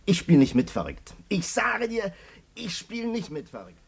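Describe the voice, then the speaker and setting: An actor playing a worn-down, plain-spoken barber in a German radio play, colloquial, emphatic and wry. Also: male voice, high-pitched, very animated, normal speed, vocal fry, slightly rough, full character voice. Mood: exasperated. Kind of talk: German radio play acting, narration and dialogue.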